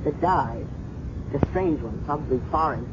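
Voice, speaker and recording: A low-voiced, calm clinic doctor. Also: female voice. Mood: calm, thoughtful. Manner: measured, explanatory. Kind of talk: 1970s radio drama acting. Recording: muffled noisy 1970s radio recording.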